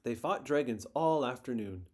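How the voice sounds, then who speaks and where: A man reading a children's picture book aloud in a gentle, expressive storytelling manner. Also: mid-range voice, moderate pace.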